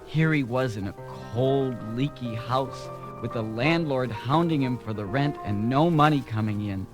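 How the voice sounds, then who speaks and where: A man's voice: mid-range voice, measured pace. A man narrating a children's read-along story from a script. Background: music.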